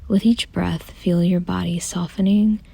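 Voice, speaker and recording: A woman softly guiding a meditation in a gentle, calm, unhurried way, often close to a whisper. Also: on the low side, no room sound. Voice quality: soft, breathy, often near a whisper. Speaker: meditation guide. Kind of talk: soothing guided meditation, scripted.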